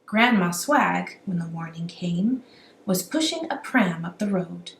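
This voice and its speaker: A woman reading a rhyming children's story aloud in an animated, amateur storytelling style. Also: on the low side, unhurried, vocal fry. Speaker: amateur children's book reader. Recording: roomy echo, faint background noise.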